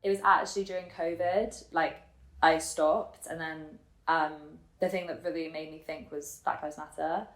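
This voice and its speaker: A woman in her twenties telling her personal story casually and reflectively, thinking aloud in halting, informal phrases. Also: low voice, little pitch movement. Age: 20s. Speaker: interviewee telling her family and life story. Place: indoor room in Germany. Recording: roomy echo on the voice.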